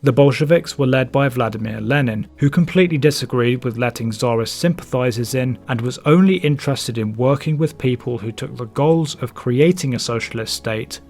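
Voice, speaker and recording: A man narrating a scripted history documentary in a measured, explanatory way. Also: medium pitch, a little mellow.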